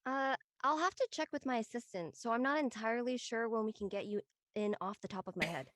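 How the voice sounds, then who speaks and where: A woman's voice: on the high side, fairly quick, a bit bright, a little hiss or hum, close to an everyday voice. A woman playing an easygoing adult aunt in a naturalistic live script reading. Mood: friendly, casual. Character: easygoing adult aunt recalling her college years. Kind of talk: naturalistic live table-read acting.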